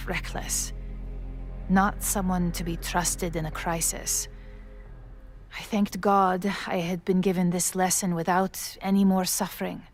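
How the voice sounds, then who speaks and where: A woman acting a dignified, guilt-ridden figure of authority in a video game, speaking in formal, measured, articulate phrases. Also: on the low side.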